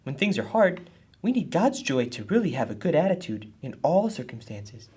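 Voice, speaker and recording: Woman playing an earnest boy puppet, lowish mellow voice, husky, explanatory, children's puppet show lesson. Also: sing-song, normal speed, heavily acted. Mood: upbeat. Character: earnest, helpful boy puppet friend named Nathan.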